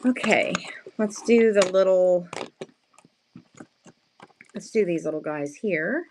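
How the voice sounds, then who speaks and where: A woman with a slightly hoarse, just-woken morning voice, chatting casually and playfully as she sells handmade crafts on a livestream, often addressing viewers and poking fun at herself. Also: on the low side, slow, darkish tone, slight background noise. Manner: self-deprecating, playful slangy wording. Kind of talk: casual live sales chat with viewers.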